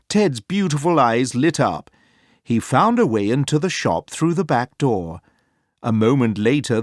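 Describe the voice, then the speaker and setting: A man narrates a children's storybook in a medium-pitched voice. His intonation is lively and expressive, with clear phrasing. The recording is close and dry, with no room around the voice. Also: no room sound. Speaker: storybook reader. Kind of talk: animated children's read-aloud narration.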